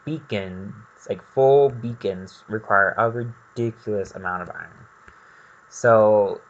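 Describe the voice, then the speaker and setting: A man's voice: lowish voice, pushed and bright, dull audio. A man doing casual gameplay commentary, rambling and thinking aloud as he goes. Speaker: gaming video host.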